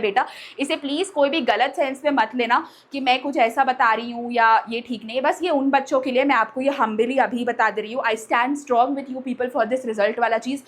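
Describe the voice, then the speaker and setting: A woman speaking directly to students as an online teacher, in a familiar elder-sister way with frequent check-in tags and English mixed in. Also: high-pitched. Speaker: online teacher and channel host. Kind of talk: direct-to-audience vlog talk with a sponsored pitch.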